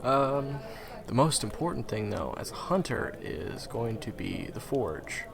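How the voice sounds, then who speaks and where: A man's voice: medium pitch, sing-song, measured pace, gravelly, a crowd in the background. A man acting in an audio roleplay as a friendly, awkward, eager young guide to monster hunters, talking hesitantly and stammering to the listener. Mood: helpful, explaining.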